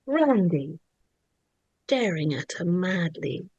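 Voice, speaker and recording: A woman narrator reading horror screenplay stage directions in a dramatic, suspenseful way, with deliberate pauses. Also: lowish voice, big pitch swings, a little mellow, no room sound, lo-fi sound. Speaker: narrator of a script table read.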